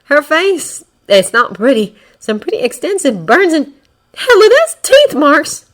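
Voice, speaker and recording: A woman's voice: mid-range voice, very animated, very slow, fairly bright tone, voice pushed up, full character voice. A woman voicing a shaken, blunt female fighter. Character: shaken tough heroine, blunt.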